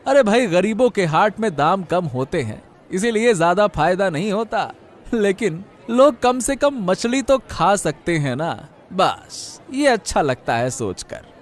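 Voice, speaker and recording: A man's voice: high voice, very animated, a little slow. A man voicing an honest, principled poor fish seller in a cartoon story, speaking earnestly with indignation.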